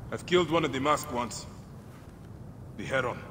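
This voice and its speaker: A man's voice: mid-range voice, moderate pace, background noise, natural voice. A man playing a grim, middle-aged Egyptian warrior in a video game, solemn and terse. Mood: grim, resolute. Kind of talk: cinematic video game acting.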